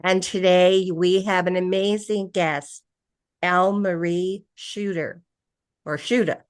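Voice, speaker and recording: A woman's voice: on the low side, steady tone, slow, no room sound, studio quality. A woman hosting a podcast interview, asking questions in a chatty way with fillers. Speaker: podcast host.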